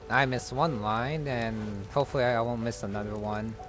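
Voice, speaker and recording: A man giving casual commentary as he plays a game, musing aloud in a halting way. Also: medium pitch, steady tone, music in the background. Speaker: gamer commenting on a playthrough.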